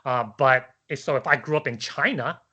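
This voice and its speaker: Male, bright forceful voice, average pitch; informal debater, discursive, keeps checking the listener agrees. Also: normal speed. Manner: hesitant, discursive, seeks agreement with tag questions. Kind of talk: casual conversational debate.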